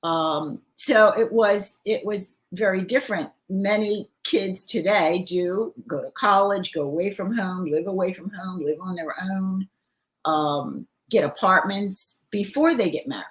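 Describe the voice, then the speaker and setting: A woman's voice: mid-range voice, measured pace, rough recording. An older woman, a grandmother co-hosting a live show, chatting casually, laughing often and reading viewer comments aloud. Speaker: co-host, grandmother.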